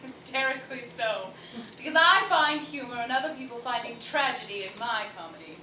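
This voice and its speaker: An actress playing a cheerful, witty young Victorian daughter in a stage play, playful and articulate. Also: female voice, fairly high pitch, expressive, moderate pace, pushed and bright. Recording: echoey stage, distant mic, faint noise.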